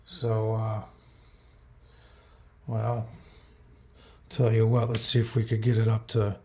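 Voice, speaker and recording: A man with a gravelly, creaky voice reviews a product at a slow pace. He rambles casually, thinking aloud as he goes. He tends to grumble about whatever he dislikes. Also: lowish voice, monotone, mellow, roomy sound, slight background noise. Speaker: radio reviewer.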